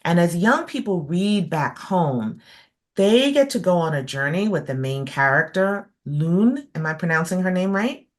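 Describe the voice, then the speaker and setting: Slow, low-voiced woman hosting. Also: animated. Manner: measured, thoughtful, with frequent fillers. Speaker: host. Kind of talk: interview hosting.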